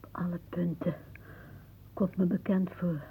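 An actress playing a weary, bitter older aunt in a radio drama, musing theatrically. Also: female voice, fairly low pitch, flat delivery, measured pace, slightly rough, heavily acted. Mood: resigned. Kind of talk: radio drama acting, monologue-like. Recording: muffled, narrow-band old radio recording.

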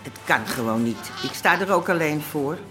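A woman's voice: sits low, lively intonation, moderate pace, over music. A woman playing a grumbling, no-nonsense middle-aged working grandmother in a radio drama, dry and plain-spoken. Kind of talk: naturalistic radio drama acting.

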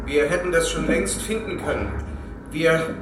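A man playing an obsessed physicist in a radio drama, urgently and haltingly blurting out his discovery. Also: fairly high pitch, noisy background, natural voice. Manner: halting, urgent, repeats himself. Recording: very echoey hall, music behind.

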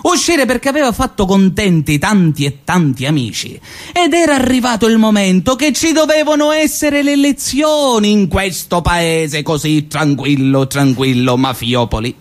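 A man's voice: expressive, moderate pace. A man playing a sarcastic radio host. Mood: mocking. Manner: ironic, theatrical storytelling, swinging pitch. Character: sarcastic young male radio host mocking a mafia town. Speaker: actor in a one-man radio play. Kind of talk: stage monologue as pirate radio broadcast.

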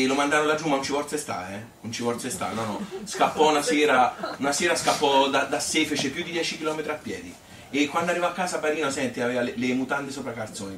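A man's voice: mid-range voice, echoey room, recorded from a distance, background noise, lightly acted. An actor playing an elderly Tuscan grandmother who reminisces in a rambling, repetitive, colloquial way, as a theatrical monologue. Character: elderly Tuscan grandmother Annunziata reminiscing about her hard childhood. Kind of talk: theatrical monologue, spontaneous spoken storytelling.

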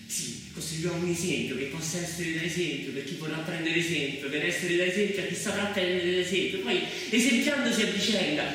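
A man on stage playing a restless idealist who dreams of a rural commune, in a repetitive, halting theatrical monologue that drifts between murmurs and exclamations. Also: on the high side, background noise, natural voice. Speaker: solo stage actor. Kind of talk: theatrical monologue with bursts of singing. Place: reverberant performance space, live before listeners. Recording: echoey hall, distant mic, music behind.

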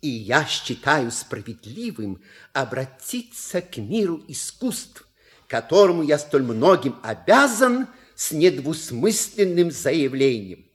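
An actor playing a suave, wry manservant with polite, measured, theatrical delivery in a stage comedy audio play. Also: male voice, on the high side, sing-song, breathy edge, exaggerated voice. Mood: solemn declaiming. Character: suave, wry manservant reading out a scandalous letter.

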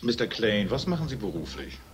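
An actor playing a blunt, sceptical investigator in a radio crime drama, putting curt, probing questions. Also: male voice, lowish voice, sing-song, brisk.

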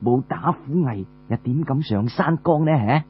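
A man's voice: medium pitch, lively intonation, measured pace, breathy edge, muffled recording. A man voicing a sly male military aide in an audiobook tale, smiling and sententious with false cheer. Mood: smug, smiling.

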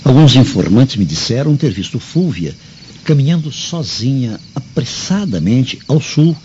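A man's voice: mid-range voice, very animated, unhurried, warm tone, slight background noise. An actor playing a courteous, suspicious Roman nobleman in a radio drama, formal and confiding. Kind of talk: old-fashioned radio drama acting. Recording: dull lo-fi radio drama with music.

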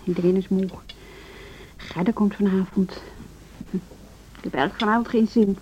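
A woman's voice: mid-range voice, fairly even intonation, moderate pace, darkish tone, natural voice. Actress as weary, listless woman, muffled recording. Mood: weary, reluctant. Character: weary, reluctant woman piano teacher at home. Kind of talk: naturalistic radio drama dialogue. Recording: dull, noisy radio play recording.

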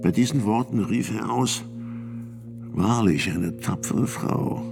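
A deep-voiced older priest gives testimony in a radio drama. His voice is creaky and low, his delivery grave and deliberate, that of an uneasy medieval witness, with music clearly behind him. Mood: grave recounting. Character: sixty-year-old male priest-physician, fearful, solemn medieval trial witness. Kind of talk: radio play testimony, measured acting.

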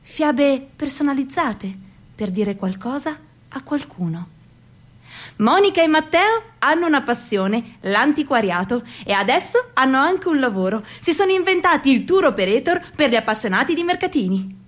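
A woman performing theatrical narration for a radio piece, with expressive swings in pitch and loudness. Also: moderate pace.

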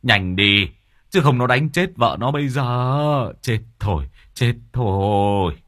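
A man's voice: mid-range voice, expressive, fairly bright tone. A man voicing an older village neighbor, fussy and coaxing. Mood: alarmed. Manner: fretful, coaxing, drawn-out pleading.

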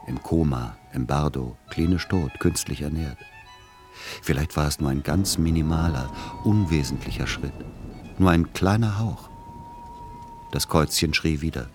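An actor playing a middle-aged, exasperated literary aesthete son who also narrates a radio play in measured words. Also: male voice, rumbling low.

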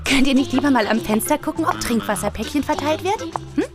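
A woman's voice: high-pitched, animated, quick pace. An actress playing a worried, placating mother of two young children in a naturalistic radio drama. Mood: gently placating. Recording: music behind the voice.

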